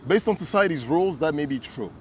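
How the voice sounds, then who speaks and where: A man's voice: a little high, animated, quick pace, darkish tone, slight background noise. A man from Kinshasa co-hosting a casual conversation, earnest and emphatic, often repeating words as he builds a point. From Kinshasa, Congo. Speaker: co-host.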